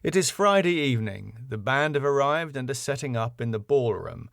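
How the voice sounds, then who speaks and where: A man reading the stage directions of his own sitcom script in a brisk, matter-of-fact way. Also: medium pitch, big pitch swings, gravelly, fairly bright tone, dry recording, studio quality.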